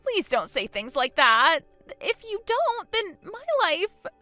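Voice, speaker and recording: A woman's voice: medium pitch, very animated, normal speed, bright, forceful tone, no room sound, soft background music. A woman playing a shameless, demanding villainess in a melodramatic audio story, pleading in a pushy whine. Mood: desperate pleading. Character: shameless, desperate, demanding villainess begging for a kidney.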